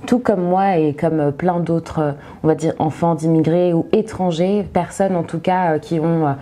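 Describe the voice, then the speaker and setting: A young woman talking through a book review, reading a synopsis aloud and then speaking with many pauses, fillers and restarts. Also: fairly low pitch, fairly even intonation, dark tone. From Egypt.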